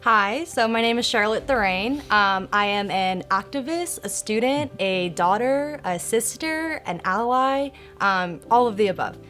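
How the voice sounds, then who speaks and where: A woman's voice: mid-range voice, expressive, measured pace, hardly any pauses, a bit bright, faint music behind. A woman in her twenties, born in Kenya and raised in the United States, speaking thoughtfully as a guest on a podcast. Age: 20s. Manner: thoughtful, with frequent ums. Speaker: guest, activist. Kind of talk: podcast interview.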